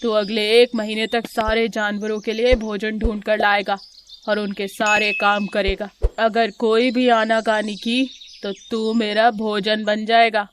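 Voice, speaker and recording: A woman's voice: medium pitch, little pitch movement, unhurried, a little hiss or hum, natural voice. A woman voicing a stern lion king in a children's cartoon, commanding and threatening. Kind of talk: children's animal fable cartoon.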